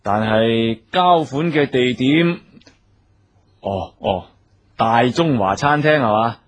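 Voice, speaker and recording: An actor playing an anxious, easily flustered wealthy husband in a Cantonese radio drama, agitated and given to sighing and stammering. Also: male voice, medium pitch, expressive, slow. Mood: tense, guarded.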